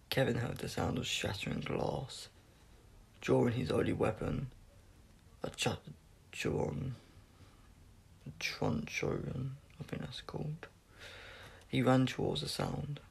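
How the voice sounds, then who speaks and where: A man reading a science fiction story aloud as scripted narration, in a gravelly, husky voice with an unpolished, amateur delivery. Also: medium pitch. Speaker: audiobook reader.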